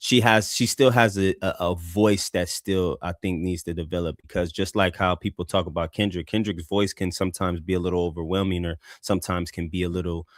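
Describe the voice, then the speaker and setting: A man with a deep, somewhat monotone voice, casually reviewing a song on a live show with lots of fillers and restarts. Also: a little fast, hardly any pauses, no room sound, studio quality. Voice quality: deep voice, flat delivery. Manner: rambling, many restarts and fillers. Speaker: co-host music reviewer.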